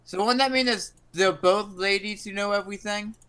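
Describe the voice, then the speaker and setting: A man's voice: high-pitched, a little fast, bright, forceful tone, smooth. A man bantering casually with a group of friends. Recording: faint background noise.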